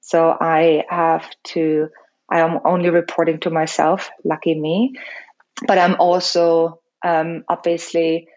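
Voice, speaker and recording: A middle-aged German woman speaks English as her second language. Her voice is low and fairly flat. She answers interview questions reflectively, with fillers and restarts along the way. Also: slow pace, muffled recording. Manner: thoughtful, with many fillers and restarts. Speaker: founder of a fitness studio chain.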